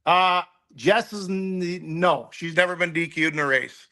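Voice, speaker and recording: A man's voice: sits high, measured pace, pushed and bright. A middle-aged man chatting casually as a racing interview guest, opinionated and ready to poke fun at himself. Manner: opinionated, self-deprecating. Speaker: guest, race car crew member.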